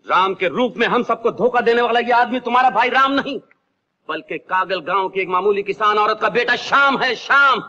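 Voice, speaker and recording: A stern, declaiming family patriarch. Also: male voice, high-pitched, fairly quick, bright, forceful tone. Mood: accusing. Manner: accusing, declamatory. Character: stern older family patriarch exposing an impostor. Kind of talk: old film drama. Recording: dull old film soundtrack with music.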